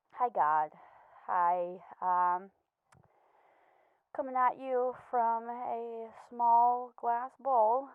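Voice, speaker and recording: A woman playing an anxious, earnest pet betta fish in a comedy voicemail monologue, stammering and hesitant, gently judgmental and apologetic. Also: medium pitch, gravelly, no echo. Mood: hesitant, lost. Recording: muffled, tinny phone-like voicemail sound.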